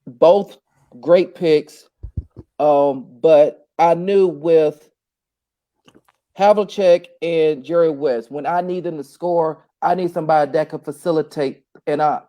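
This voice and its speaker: A man as a podcast guest in a casual sports chat, playful and thinking out loud as he weighs his choices. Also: a little high, takes it slowly.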